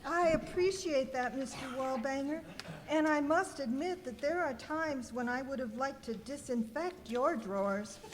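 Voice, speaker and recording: A woman's voice: sits high, unhurried. A woman playing a prim, demure saleswoman with a secret superhero identity in an amateur radio skit. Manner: prim, formal. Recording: echoey hall with noise and clicks.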